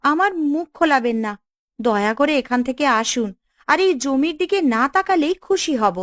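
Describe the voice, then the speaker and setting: Bossy, high-voiced village woman played by an actress. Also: lively intonation, normal speed, a bit bright, dry recording. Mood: defiant. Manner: assertive, scolding. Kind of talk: Bengali cartoon dialogue.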